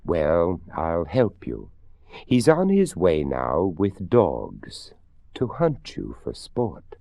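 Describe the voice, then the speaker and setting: Man voicing a genteel, plaintive skeleton, slow and swooping. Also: deep voice, wildly swooping pitch, gravelly, mellow, no room sound, studio quality, heavily acted. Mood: helpful, instructing. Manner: formal, genteel, drawn-out phrasing. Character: courteous, plaintive old skeleton, gentlemanly and grateful.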